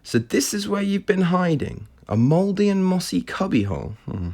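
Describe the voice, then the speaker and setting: Man voicing a scornful, higher-pitched woman. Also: sing-song, moderate pace, darkish tone. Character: scornful, snorting female underground dweller.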